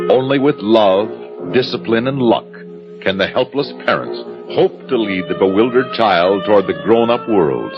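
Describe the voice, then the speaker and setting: A man acting as a grave, suspenseful narrator in mid-century radio drama style. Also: lowish voice. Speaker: announcer and narrator. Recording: muffled old radio recording with music.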